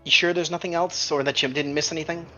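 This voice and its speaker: A man's voice: on the high side, steady tone, quick pace, bright, forceful tone. A man working as game master, talking casually and profanely through rules and narrating the action. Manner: colloquial, profane. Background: background music.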